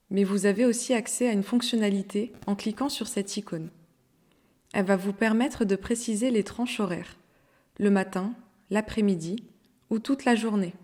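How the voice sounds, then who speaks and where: Mellow-voiced woman narrating a tutorial. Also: mid-range voice. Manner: instructional, step-by-step. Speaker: software training narrator.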